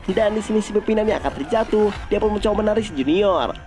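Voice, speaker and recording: A male narrator with an Indonesian accent retells a film in a casual, conversational style. His voice sits high for a man. Soft music plays faintly behind him. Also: quick pace.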